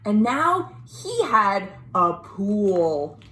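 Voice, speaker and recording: An American woman reading a children's story aloud, expressive and dramatic, pausing to explain things simply. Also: medium pitch. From United States. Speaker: librarian, storytime host. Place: at home. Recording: roomy, echoey home recording.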